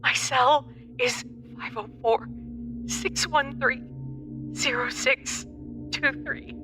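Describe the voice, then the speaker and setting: A woman's voice: high-pitched, steady tone, takes it slowly, music in the background. A woman playing a terrified young woman, pleading desperately and trembling in a horror audio drama. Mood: pleading, scared.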